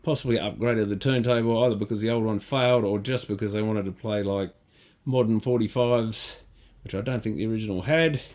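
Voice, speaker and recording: Low-pitched Australian man, musing casually. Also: fairly quick, darkish tone. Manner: unscripted, musing, understated. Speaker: electronics repairer presenting his channel. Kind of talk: casual hands-on commentary.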